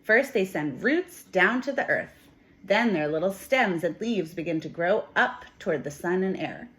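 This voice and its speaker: A woman with a slightly low voice speaks as a teacher sharing a picture book with young children. Her delivery is expressive and emphatic, built for storytelling and simple explanation. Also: measured pace.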